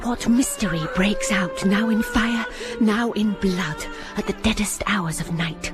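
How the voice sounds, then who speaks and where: An actress playing a polite, steady young governess in a radio drama. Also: female voice, mid-range voice, unhurried, over music, natural voice. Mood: troubled. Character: composed, earnest young governess.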